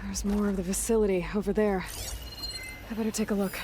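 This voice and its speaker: A woman playing a self-reliant, curious young adventurer in a video game, musing to herself in an often hushed, breathy way. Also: mid-range voice, fairly even intonation, brisk, noisy background, close to an everyday voice. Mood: curious, focused. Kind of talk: video game acting, exploration muttering.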